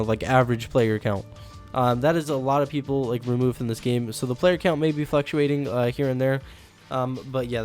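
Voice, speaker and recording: A man hosting a gaming update video, talking in a casual, informal, explanatory way. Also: medium pitch. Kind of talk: casual game update commentary. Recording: music behind the voice. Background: background music.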